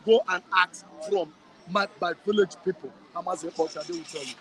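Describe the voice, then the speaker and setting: A man with a Nigerian accent and a high voice talks with passion and emphasis. He circles back over his phrases to drive a point home. He is speaking outdoors in a town. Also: fairly quick, fairly bright tone. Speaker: guest. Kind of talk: impassioned interview answers.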